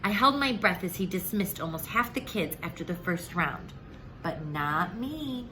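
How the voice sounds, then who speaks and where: A woman reading a children's story aloud in an expressive way, acting out the dialogue. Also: lowish voice, unhurried, background noise. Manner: animated, acts out the dialogue. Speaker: teacher reading a picture book aloud.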